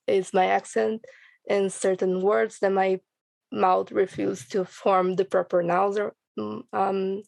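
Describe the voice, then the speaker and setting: Brazilian-accented woman with a creaky voice. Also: medium pitch, a little mellow, dry recording. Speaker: audio drama podcast creator and voice actor. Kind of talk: casual panel chat.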